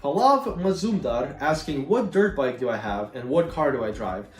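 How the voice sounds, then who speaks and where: A man's voice: mid-range voice, moderate pace. A young man from Ukraine with a Canadian accent and a slight Slavic tinge, chatting casually as he answers viewer questions with lots of fillers. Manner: relaxed and chatty, many fillers. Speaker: gaming YouTuber. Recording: roomy echo, a bit far from mic, faint music.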